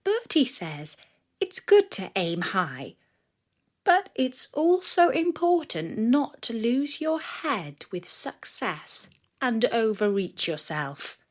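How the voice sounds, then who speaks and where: Expressive woman storyteller, slow and lively. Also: a little high. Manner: expressive, dramatic delivery. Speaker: storyteller and host of a children's story podcast. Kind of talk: scripted storytelling narration for children.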